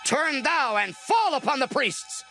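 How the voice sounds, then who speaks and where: A paranoid, raging king in a biblical audio drama. His high voice is sharp and forceful, sweeping widely as he declaims. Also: very animated, a little slow, faint music behind, full character voice, rough recording. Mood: furious. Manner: declamatory, theatrical, archaic speech. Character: wrathful, paranoid king accusing his servants of treason. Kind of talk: dramatized scripture acting.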